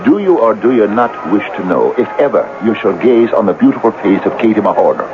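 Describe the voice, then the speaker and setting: An actor playing a grandiloquent, persuasive pal who philosophizes in florid, pompous speeches in a radio drama. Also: male voice, on the low side, very animated, brisk, over music. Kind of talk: 1970s radio drama acting. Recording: tinny, noisy old radio broadcast.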